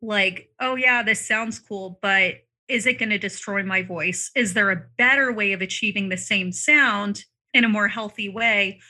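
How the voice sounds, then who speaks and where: A woman with a very bright, forward voice talks casually about singing and vocal health. She is loose and chatty, full of "like" and "um." Her throat sounds a little dry, and she clears it from time to time. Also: mid-range voice, a little slow. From Arizona, US. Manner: chatty, many fillers like "like" and "um". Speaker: vocal coach guest. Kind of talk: casual podcast conversation.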